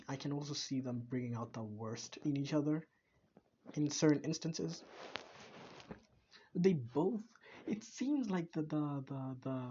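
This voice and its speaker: A man's voice: mid-range voice, darkish tone, muffled recording, slight background noise. A young man reacting to a video, rambling and hesitant with many restarts. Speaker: reaction channel host. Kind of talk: casual reaction commentary.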